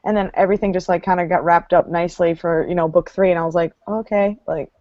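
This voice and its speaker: A woman's voice: fairly low pitch, fairly even intonation, fast, no room sound. A woman in a casual book discussion livestream, talking in slang and acting out her own reactions. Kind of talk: casual chat. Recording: dull, lo-fi livestream audio.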